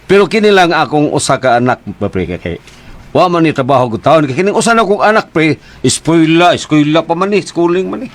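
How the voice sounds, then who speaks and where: A man's voice: on the high side, animated. An actor with a Cebuano accent playing a nagging middle-aged father of twin daughters in a radio drama. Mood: chatty. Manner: nagging, quick to scold.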